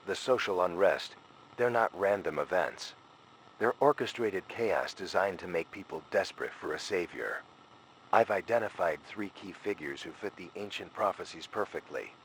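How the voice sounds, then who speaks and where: A man's voice: deep voice, slight background noise, rough recording. A man playing a frightened scholar who leaves a grave, ominous final warning in a scripted analog horror recording. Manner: grave, ominous, urgent, deliberate phrasing.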